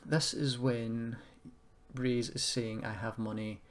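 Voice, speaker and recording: A man giving an informal software tutorial, thinking aloud in a hesitant, self-deprecating way as he goes. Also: mid-range voice, level tone, takes it slowly. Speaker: tutorial presenter. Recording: noisy room with keyboard clicking.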